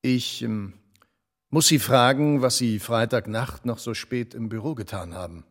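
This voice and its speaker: Radio drama: officious, patronizing superior, mid-pitched husky voice, formal and clipped. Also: male voice, a little slow. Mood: irritated, smug. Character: officious, condescending French male department boss. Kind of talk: radio play dialogue.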